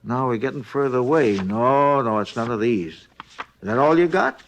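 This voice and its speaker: An actor as a folksy, animated hotel night clerk. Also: male voice, medium pitch, moderate pace, slight background noise, lightly acted. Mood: musing, helpful. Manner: rambling, hesitant, colloquial. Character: chatty, folksy male hotel night clerk, robbery witness. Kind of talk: 1950s radio drama acting.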